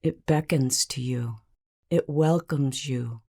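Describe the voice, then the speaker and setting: A woman narrating a scripted guided meditation in a calm, measured, soothing manner with long pauses. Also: very deep voice, vocal fry, husky.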